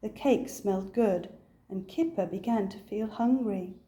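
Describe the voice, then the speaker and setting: An older woman reading a children's picture book aloud as a storyteller, with a deliberate, expressive delivery. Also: medium pitch.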